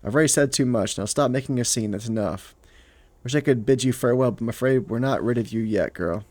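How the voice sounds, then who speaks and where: Man acting haughty fantasy noblewoman, cold, menacing, scornful, imperious, medium male pitch, dry sound. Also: fast talker, a little mellow, no echo, natural voice.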